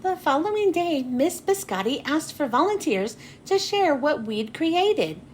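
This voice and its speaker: A woman narrating a children's storybook expressively, with dramatic pauses and drawn-out phrase endings. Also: high-pitched, big pitch swings. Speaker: children's book read-aloud host. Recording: noticeable background noise.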